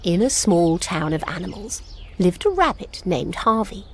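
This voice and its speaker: A woman narrating a scripted fairy tale for toddlers in an expressive, simple storybook manner. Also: lowish voice, normal speed, soft background music. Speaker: children's storyteller.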